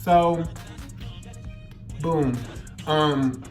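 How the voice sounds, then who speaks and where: A man's voice: fairly high pitch, steady tone, drawn-out words. A man in his twenties with a Louisiana Southern accent tells a story in casual, slangy chat, with a feminine, flamboyant delivery and repeated phrases for emphasis. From Louisiana, United States. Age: 20s. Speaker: YouTube storyteller. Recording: roomy echo, music behind the voice.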